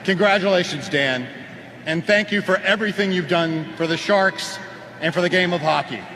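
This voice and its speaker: A man's voice: sits high, normal speed. A man giving a prepared tribute speech at a ceremony as a team president, over the PA in a large indoor arena. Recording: noisy arena PA, long reverb.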